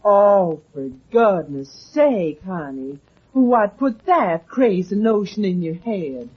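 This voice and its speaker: A woman's voice: medium pitch, lively intonation, takes it slowly, husky. An actress playing a coy, sugary, evasive Southern woman with an American Southern accent in a vintage radio drama. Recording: muffled vintage radio recording.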